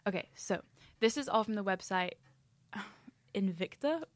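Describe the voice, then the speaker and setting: A young woman from Scotland with a mellow Scottish accent, chatting casually and in a rambling, giggly way as a podcast co-host. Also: medium pitch, lively intonation, unhurried, dry recording. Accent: soft Scottish. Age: young adult. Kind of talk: casual chat.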